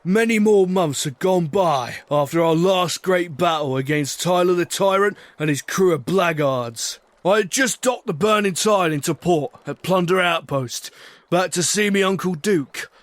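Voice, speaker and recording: A man's voice: sits high, unhurried, dry recording. A man voicing a swashbuckling pirate captain who tells his sea tales in salty, theatrical pirate talk. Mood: reflective storytelling. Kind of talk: dramatic scripted storytelling with voice acting.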